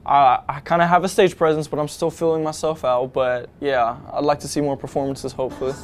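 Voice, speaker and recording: A man's voice: a little high, level tone, fairly quick. A young man, a student musician, answering interview questions in an earnest, enthusiastic, rambling way. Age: young adult.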